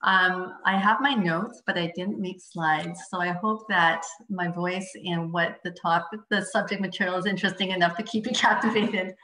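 A woman's voice: lowish voice, fairly even intonation, normal speed, hardly any pauses, echoey room, low-quality recording. A woman family physician whose first language is Mandarin, presenting on a webinar video call in a chatty way, with many fillers and restarts. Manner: chatty, frequent fillers and restarts, laughs softly.

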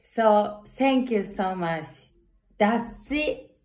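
A woman in her thirties with a strong Japanese accent, slowly reading love affirmations to a phone camera in a small room, with earnest, halting English and frequent self-corrections. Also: mid-range voice, animated, darkish tone, slight background noise. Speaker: saxophonist vlogger. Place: corner of a small room indoors. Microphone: phone camera at arm's length.

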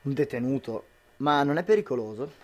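A man's voice: medium pitch, expressive, brisk, lightly acted. Young man as an earnest, anxious husband. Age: young adult. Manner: earnest, tender. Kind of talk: amateur radio drama acting.

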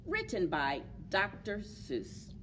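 Very expressive woman reading to young children. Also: mid-range voice, takes it slowly. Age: middle-aged. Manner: animated, encouraging, speaks to young children. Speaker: children's librarian hosting story time. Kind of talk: children's story time, sing-along and read-aloud. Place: indoors among library bookshelves. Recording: clear background noise, room ambience.

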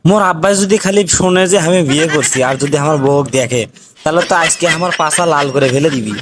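Guy doing worried broke young boyfriend, north bengal accent, highish voice, whiny cartoon comedy, noisy recording. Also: fast talker, close to an everyday voice. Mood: anxious, grumbling. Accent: North Bengal Bangladeshi. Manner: whining, exasperated comic complaining. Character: anxious, broke young village boyfriend turned reluctant groom.

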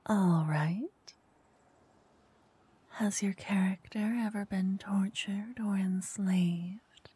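A woman doing a soft-spoken ASMR roleplay as a flirty dungeon master. She teases, then whispers close into each ear in turn. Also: mid-range voice, fairly even intonation, extremely slow, husky, no echo. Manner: teasing, flirty, switching between whispers and soft speech. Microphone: close binaural mic.

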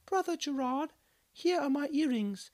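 A man's voice: very high-pitched, lively intonation, a little slow, a little mellow, pitched above the performer's own voice. A man voicing an eager townswoman offering gifts, in a storybook reading. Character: generous townswoman, eager and pleading.

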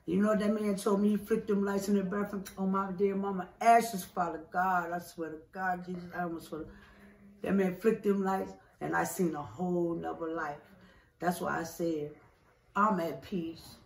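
A woman's voice: on the low side, level tone, normal speed, reverberant, far from the mic, a little hiss or hum, poor audio. A woman telling personal stories in an emphatic, profane, repetitive way in a casual street interview. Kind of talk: casual emotional personal storytelling.

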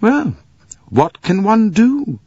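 A man putting on a cool, detached young woman in a story, her voice set high and even-tempered, with a husky, dry sound. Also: takes it slowly, no room sound, dull audio, full character voice. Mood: composed. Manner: cool, composed. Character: calm, aloof young English lady.

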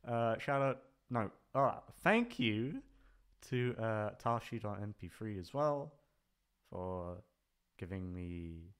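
A man's voice: on the low side, very animated, takes it slowly, fairly bright tone, clear, resonant tone. A man chatting casually in a rambling, hesitant way, swearing freely as he goes. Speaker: ASMR voice actor, channel creator. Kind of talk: rambling casual farewell chat.

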